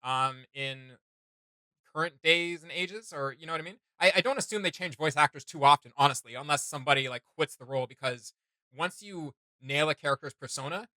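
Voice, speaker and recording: A man's voice: fairly high pitch, bright, forceful tone, no echo. A man giving casual, opinionated reaction commentary as a host, emphatic and informal, often circling back over his words. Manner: emphatic, halting and repetitive, informal.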